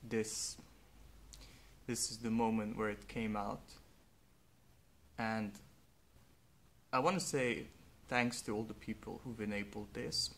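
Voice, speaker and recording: A young man, a native Hungarian speaker, speaking slowly and earnestly in a reflective personal monologue with faint background noise. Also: on the low side, steady tone, fairly bright tone. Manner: slow, thoughtful, earnest. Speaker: vlogger.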